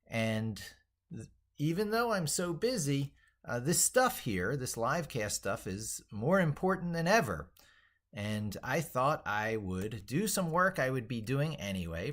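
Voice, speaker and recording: Male livestream host, low-pitched voice, loose unscripted delivery, thinks out loud. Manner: rambling, thinking aloud. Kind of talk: casual unscripted livestream talk.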